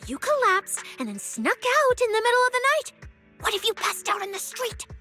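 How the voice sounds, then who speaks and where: A woman playing a playful, bossy, easily flustered young police specialist in an anime-style video game, with an expressive, teasing, mock-official delivery. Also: very high voice, normal speed, soft background music, exaggerated voice. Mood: scolding, worried.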